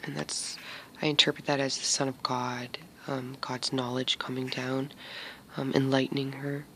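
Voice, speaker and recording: A man's voice: mid-range voice, monotone, moderate pace, bright, forceful tone, slight background noise. A man giving a casual tarot reading to his viewers. He talks hesitantly with many fillers and often drops to a whisper. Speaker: tarot reader.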